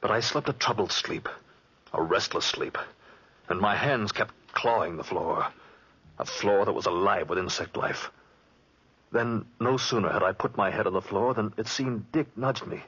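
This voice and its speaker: An actor playing an exhausted, frightened traveler telling of a terrible night, husky and haunted, in 1940s radio drama style. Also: male voice, on the low side, normal speed, a bit bright. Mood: haunted, tense. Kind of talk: 1940s radio acting, first-person suspense narration. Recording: muffled vintage 1940s radio recording.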